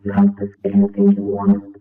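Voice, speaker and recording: A woman's voice: mid-range voice, very animated, fairly quick, faint music behind. A woman speaking a short demo phrase through a voice changer filter. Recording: tinny, echoey, far-off processed audio.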